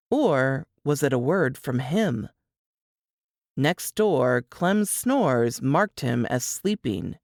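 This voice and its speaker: Low-voiced woman narrating fiction expressively. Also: dry recording, clean studio sound. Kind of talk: dramatic fiction narration, scripted reading.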